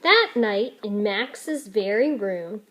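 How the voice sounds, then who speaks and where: A woman reading a picture book aloud to children, slowly and deliberately, with dramatic emphasis and some noise in the recording. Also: mid-range voice, expressive, fairly bright tone. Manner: slow, deliberate, dramatic emphasis for young listeners. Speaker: story reader for children.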